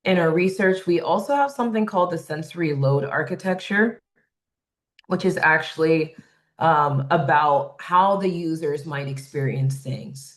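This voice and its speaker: Woman webinar facilitator with a loud voice. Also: low voice, a little slow. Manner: conversational, tags points with "right?". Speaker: workshop facilitator. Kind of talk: webinar presentation.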